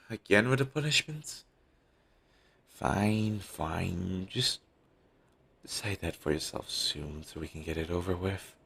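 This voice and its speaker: A man playing a devoted, apologetic talent agent in an audio roleplay, earnest and hesitant, with a husky, gravelly voice on a low-quality amateur recording. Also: slow, pauses often, sharp tone, exaggerated voice. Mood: resigned. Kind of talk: scripted audio roleplay, intimate one-sided dialogue.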